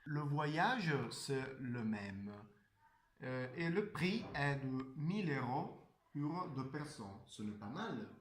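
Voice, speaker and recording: A man playing a polite travel agent in an amateur role-play, speaking haltingly. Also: a little high, very animated, a little hiss or hum. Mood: polite, salesmanlike. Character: polite, deliberate male travel agent pitching offers. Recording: roomy echo.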